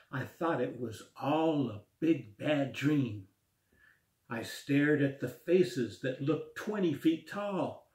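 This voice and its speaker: A man in his sixties reading his own rhymed poem aloud at home in a slow, rhythmic recitation. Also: medium pitch. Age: 60s. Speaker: poet. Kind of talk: spoken word poetry reading. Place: small room at home, indoors. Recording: echoey room.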